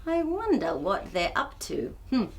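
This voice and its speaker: A woman hosting a children's storytime, reading a picture book aloud in an expressive, theatrical way and chatting to young viewers. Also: mid-range voice, a little slow. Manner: expressive, theatrical, with gasps and exclamations.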